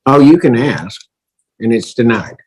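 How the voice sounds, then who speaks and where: A man's voice: medium pitch, expressive, unhurried, a little mellow, husky, rough recording. A man speaking as a judge in a courtroom, giving formal rulings in a firm, authoritative manner. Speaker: judge presiding over a hearing.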